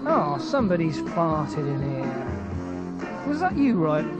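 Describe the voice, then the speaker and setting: Slow-talking radio man over loud music. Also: on the high side, very animated. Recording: dull radio recording, loud music behind.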